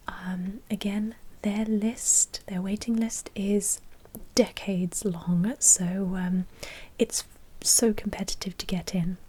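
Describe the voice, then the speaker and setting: A woman's voice: medium pitch, warm tone, husky. A woman playing a polite, businesslike vampire blood bank manager in a whispered ASMR roleplay, explaining procedures in a helpful, officious way. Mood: informative, encouraging.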